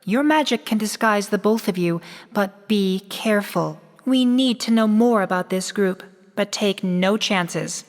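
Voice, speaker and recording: A woman playing a composed, ancient pony princess in a radio drama, stately, gracious and measured. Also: mid-range voice, natural voice. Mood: gracious, careful. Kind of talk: fan-made radio drama acting. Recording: long hall reverb.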